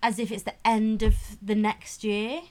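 A woman's voice: mid-range voice, level tone, a little slow, warm tone. Chatty young woman podcast co-host. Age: 20s. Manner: chatty, laughs a lot, lots of likes. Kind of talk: casual chat.

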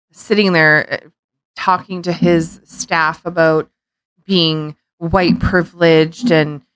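A woman's voice: lowish voice, fairly bright tone, clean tone, no room sound. Heated, rambling woman podcast co-host. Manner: heated, rambling, swears, frequent restarts. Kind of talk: casual opinion chat.

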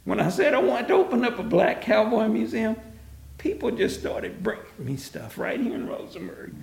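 An older man with a gravelly, strained voice, telling his story in an animated, laughing way. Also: high voice, normal speed, reverberant. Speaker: interviewee, museum founder. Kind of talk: storytelling interview. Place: his museum, indoors.

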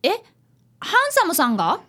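A high, very lively little boy played by a woman, earnest and eager in his politeness. He is an apprentice detective in a radio drama and also narrates it. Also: unhurried, full character voice. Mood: surprised. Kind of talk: Japanese comic radio drama acting.

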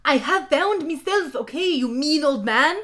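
A woman voicing an angsty goth teenage girl in an overwrought, shouty reading of comic fan fiction, full of exaggerated outrage. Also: high-pitched, animated, normal speed, pitched above the performer's own voice. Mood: angry, accusing. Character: melodramatic angsty goth teenage girl, quick to scream insults.

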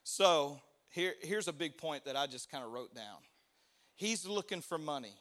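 Pastor, preaching chatty, high bright voice, calls out to the crowd. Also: male voice, expressive, moderate pace. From United States. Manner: conversational preaching, asks the crowd for responses. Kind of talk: sermon.

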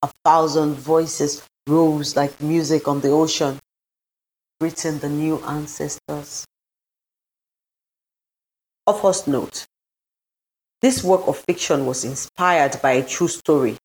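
A woman with a low voice narrates a literary story. Her reading is scripted, solemn and dramatic. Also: fairly even intonation, slow, pauses often, a little mellow, poor audio. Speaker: story reader.

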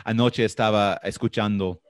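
A man with a fairly low voice and an American accent gives interview answers in a language that is not his native English. He pauses often and searches for words. The sound is fairly dry, with little room around it. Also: fairly even intonation, no echo. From Florida, United States. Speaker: podcast guest.

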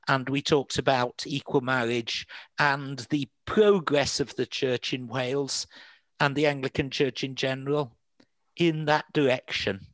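A man's voice: medium pitch, fairly bright tone, dry recording. A man with a Manchester accent, a priest hosting a podcast, talking through past episodes in a thoughtful, rambling way with frequent fillers and restarts. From England. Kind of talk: casual conversational podcast chat.

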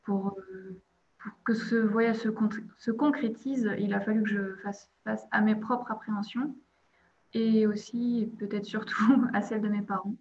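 A woman's voice: mid-range voice, little pitch movement, measured pace, darkish tone, muffled recording. A young woman from the province of Liège in Belgium, a podcast guest, talking casually with many hesitations and light laughs. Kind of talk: casual interview chat.

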